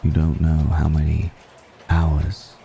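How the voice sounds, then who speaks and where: An ancient, seductive vampire noble, acted out by a man, with an extremely low, very dark and velvety voice. He talks very slowly and formally, lingering over his pauses in a close, intimate ASMR roleplay. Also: completely flat delivery, slight background noise, full character voice. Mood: tender, admiring. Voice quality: very deep, gravelly. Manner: slow, deliberate, formal wording with long pauses. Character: ancient, suave, seductive male vampire noble, affectionately amused.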